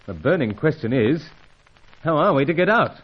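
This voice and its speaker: An actor playing a gallant British secret agent in an old-fashioned radio serial, with hearty, gentlemanly speech. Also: male voice, fairly high pitch, big pitch swings, normal speed, over loud music. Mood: grave. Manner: hearty, clipped gentlemanly exclamations. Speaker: lead character and narrator. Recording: dull, band-limited old radio recording.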